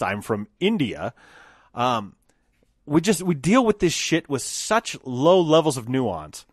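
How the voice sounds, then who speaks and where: Man, podcast host, solo unfiltered rant, swears a lot, mid-pitched voice, dry close sound. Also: no room sound. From Colorado, United States. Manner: profane, animated, acts out mock quotes. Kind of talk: unscripted solo monologue.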